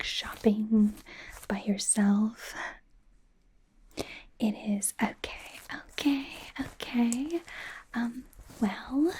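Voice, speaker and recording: A woman playing a bubbly young female pop idol acting as a personal stylist in a softly whispered, informal, rambling ASMR roleplay. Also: fairly high pitch, exaggerated voice. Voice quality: soft, breathy whisper. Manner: hesitant, rambling, informal, earnest.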